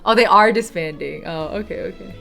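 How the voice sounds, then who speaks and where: A woman's voice: on the low side, brisk, creaky, faint music behind. A woman chatting casually as a livestreamer, reacting to a game in a playful, jokey way. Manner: animated, jokey, mimics imagined quotes. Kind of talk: casual chat and game commentary.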